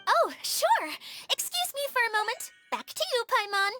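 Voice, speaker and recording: Casting: dramatic, sensitive game maiden, high-pitched, lively swings, flowery and polite. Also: female voice, moderate pace, heavily acted. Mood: poised, critical. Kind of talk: video game voice acting. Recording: music behind the voice.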